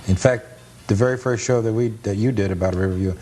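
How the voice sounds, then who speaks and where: A man's voice: lowish voice, quick pace, mellow, soft background music. A man speaking as an interview guest, explaining things plainly like an enthusiast narrating old film. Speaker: guest author and amusement park enthusiast. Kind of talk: interview answers and film commentary.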